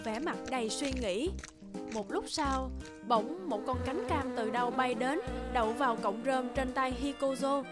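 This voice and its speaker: Female storyteller, high pitch, scripted children's folktale narration, prominent background music. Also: a little slow.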